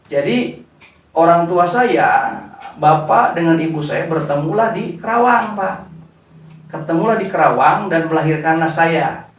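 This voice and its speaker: A man's voice: a little high, animated, far from the mic, slight background noise. Man speaking off-mic in an echoey room. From West Java, Indonesia. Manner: unhurried, with frequent "eh" fillers, addressing the interviewer as "Pak". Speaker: founder of a community organization. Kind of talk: relaxed interview answers.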